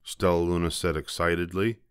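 Smooth, deep older man reading a story. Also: flat delivery, brisk, no room sound, studio quality. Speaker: storybook narrator. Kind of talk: scripted children's story narration.

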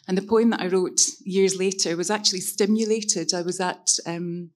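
A woman's voice: fairly low pitch, fairly even intonation, normal speed, darkish tone. A middle-aged Scottish woman on a panel, speaking thoughtfully into a handheld mic on a conference stage, with frequent ums. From Scotland. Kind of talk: reflective personal talk on stage.

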